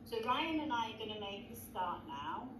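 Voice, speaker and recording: A woman's voice: fairly high pitch, very animated, normal speed. Friendly rambly woman hosting a video call, sounds far from the mic, noisy. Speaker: host. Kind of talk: casual webinar hosting. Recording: distant, noisy, echoey call audio.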